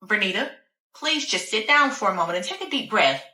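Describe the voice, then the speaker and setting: A woman voicing a concerned female doctor who keeps gently coaxing and pleading, calling her patient honey and dear. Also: medium pitch, expressive, fairly quick, reverberant.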